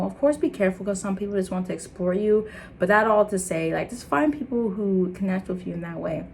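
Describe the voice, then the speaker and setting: A young woman with an American accent, born in Liberia and raised in the States, talking to camera in an earnest, animated motivational vlog. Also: a little hiss or hum. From Liberia, raised in the United States. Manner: earnest and animated, laughing, jumping into high excited bits. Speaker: content creator. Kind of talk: casual motivational vlog talk.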